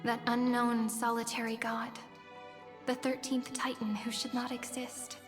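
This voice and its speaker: An actress voicing a gentle, wistful young woman who tells of memories in soft, breathy, poetic lines for a video game. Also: on the high side, level tone, measured pace, natural voice. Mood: wistful, revealing. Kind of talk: scripted video game drama. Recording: long echoing reverb, music behind.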